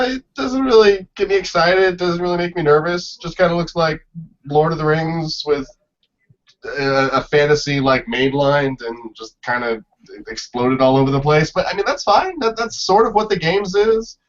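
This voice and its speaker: A man chatting casually as a podcast panelist, halting and correcting himself as he thinks aloud. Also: a little high. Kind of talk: casual chat. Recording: dull lo-fi podcast audio.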